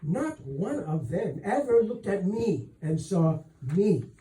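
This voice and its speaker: Man voicing a halting young servant woman, high and soft. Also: sing-song, exaggerated voice. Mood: earnest, frustrated. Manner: hesitant, halting, deferential. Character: young servant woman, earnest, humble, tearful, clever. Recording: roomy echo, distant microphone.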